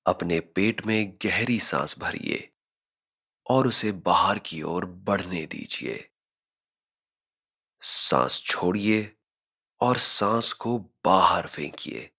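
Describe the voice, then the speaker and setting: A man narrating a scripted guided meditation, giving measured instructions with frequent pauses. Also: deep voice, animated, creaky, sharp tone, husky.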